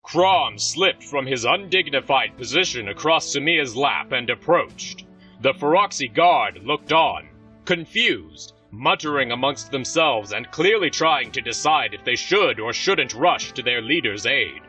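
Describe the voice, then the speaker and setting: A man reading scripted audio drama narration in a dramatic, expressive storytelling manner. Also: medium pitch, a little slow, distant mic, poor audio. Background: music.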